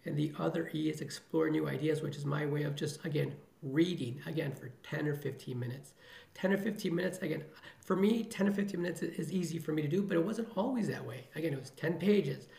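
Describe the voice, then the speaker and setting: Middle-aged male ER doctor from the Chicago area, earnest and motivational, heavy vocal fry, echoey room. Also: on the high side, fairly quick, dark tone. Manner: earnest, motivational, repeats phrases for emphasis. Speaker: emergency physician guest. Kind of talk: podcast interview answers.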